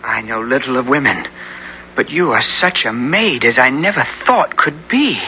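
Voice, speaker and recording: An actor playing a bold, earnest young squire in an old-time radio drama, speaking and narrating theatrically. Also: male voice, mid-range voice, lively intonation, moderate pace, sharp tone. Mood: ardent. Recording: dull, noisy radio recording.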